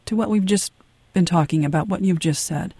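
Soft-spoken, polite interviewer; mid-pitched, dry, close. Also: a little fast, slightly rough, no room sound. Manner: careful, polite questioning. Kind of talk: interview questions.

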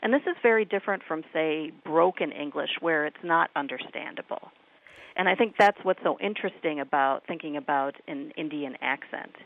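A Midwestern woman, a professor, talks through her ideas on a radio show in a measured, academic way, often pausing on "um". Her voice sits a little low for a woman. It comes in over a narrow, tinny phone line. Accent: Midwestern American. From United States. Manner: measured, academic, with frequent ums. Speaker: professor and author guest. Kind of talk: radio interview. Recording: phone-line call-in audio.

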